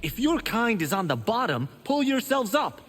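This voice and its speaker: An actor voicing a boastful, vain young monk in a video game. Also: male voice, extremely high pitch, sing-song, normal speed, a bit bright, slightly rough, exaggerated voice. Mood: cocky.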